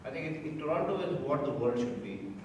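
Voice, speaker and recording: A man's voice: medium pitch, expressive, fairly quick. A man from India speaking earnestly and with feeling in an interview, often restarting his words. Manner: earnest, emotional, with repeated restarts. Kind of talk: reflective interview. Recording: echoey hall, distant mic, noisy.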